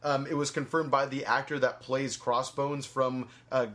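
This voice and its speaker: A man's voice: medium pitch, level tone, normal speed, a bit bright. A man hosting a movie podcast in a casual, rambling way, swearing freely as he chats. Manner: rambling, foul-mouthed. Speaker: podcast host.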